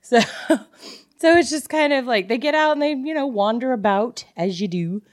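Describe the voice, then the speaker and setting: A woman's voice: a little high, moderate pace, no room sound, clean studio sound. A woman co-hosting a book club podcast, casually retelling a plot in a jokey, salty-tongued way. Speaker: podcast co-host.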